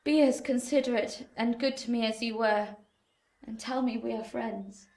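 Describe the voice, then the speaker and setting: An actress playing a gentle, sorrowful Victorian woman in a period radio drama, earnest and formal. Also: fairly high pitch, steady tone, normal speed, vocal fry. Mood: sorrowful, pleading. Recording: echoey room, environmental noise.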